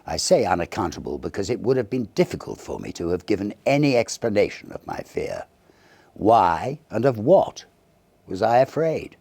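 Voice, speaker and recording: A man narrates a Victorian ghost tale in a low, gravelly voice. His delivery is theatrical and measured, with animated swoops and dramatic pauses. He sounds like a classic storyteller at work. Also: no echo. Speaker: audiobook narrator.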